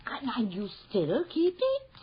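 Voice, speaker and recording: Fluttery housewife in an old radio play, slow lilting swoopy talk, muffled recording. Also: female voice, high-pitched, huge pitch swings, a little hiss or hum, exaggerated voice. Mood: curious, uneasy. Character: amused, fluttery middle-aged housewife and mother. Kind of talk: old-fashioned radio drama acting. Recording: dull, lo-fi radio drama recording.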